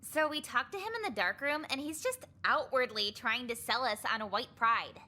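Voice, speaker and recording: An actress playing a sarcastic, indignant high school girl in a visual novel game, with some noise behind her. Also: lively intonation, moderate pace, bright, forceful tone. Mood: concerned.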